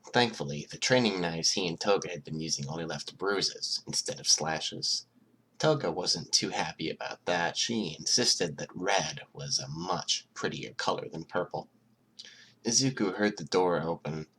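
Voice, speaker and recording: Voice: low-pitched, pushed and bright, slight background noise. An amateur audiobook narrator reading fiction expressively.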